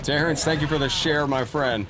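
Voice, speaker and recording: A man's voice: fairly high pitch, fairly even intonation, moderate pace. A man hosting a live gaming stream, chatting and greeting viewers by name while he plays, his voice a little scratchy from a cold. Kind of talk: casual gameplay commentary, greeting chat. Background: game music.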